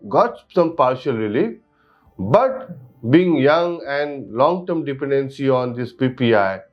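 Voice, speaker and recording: A man with an Indian accent explaining a patient case as a surgeon, in a formal, clinical manner. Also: medium pitch. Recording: dull audio under background music.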